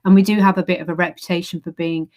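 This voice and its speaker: A woman's voice: fairly low pitch, flat delivery, fast talker, a little mellow. A woman with an English accent from England, giving an informal presentation to prospective students as a university recruitment manager, explaining in a friendly way with frequent "um" fillers, on a video call.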